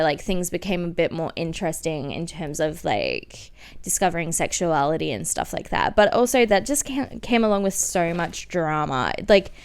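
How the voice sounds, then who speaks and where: Clear-voiced young Australian woman, candid and chatty. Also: lowish voice. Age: 20s. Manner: candid, informal, liberal filler "like" and swearing. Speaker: podcast host. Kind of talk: casual chatty solo podcast storytelling.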